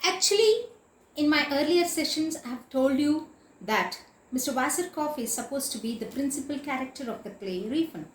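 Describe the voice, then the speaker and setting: A woman's voice: high voice, expressive, moderate pace. A woman teacher giving an explanatory literature lecture in a didactic, emphatic way, repeating and spelling out key words. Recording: echoey room.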